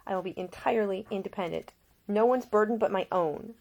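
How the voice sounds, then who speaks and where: A woman's voice: medium pitch, brisk, darkish tone, dull audio, voice pushed up, lightly acted. A woman voicing an independent, caring woman in love, soothing yet firm, in audiobook character dialogue. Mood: firm, determined.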